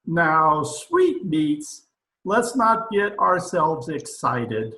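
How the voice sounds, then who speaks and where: A man's voice: on the high side, big pitch swings, very slow, bright, forceful tone, reverberant, full character voice. A man playing a pompous, blustering dictator president in an old-time radio comedy recorded over a video call. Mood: indignant. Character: pompous, excitable dictator president of a banana republic.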